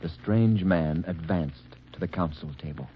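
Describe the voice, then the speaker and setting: A man narrating a poem in a slow, expressive, rhythmic way, on a dull 1940s radio broadcast. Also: deep voice, a little hiss or hum. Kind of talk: dramatic poetry narration.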